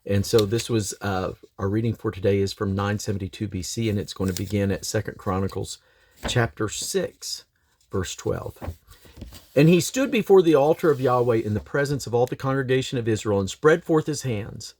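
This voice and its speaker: A man reads aloud from the King James Bible in a solemn, measured, declamatory way, phrase by phrase. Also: lowish voice, a little mellow. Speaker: scripture reader and host.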